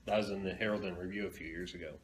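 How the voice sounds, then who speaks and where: A man's voice: sits low, steady tone, rapid-fire. A man in casual conversation as a comic book fan, with some room noise around him. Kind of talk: casual chat. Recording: noisy room ambience.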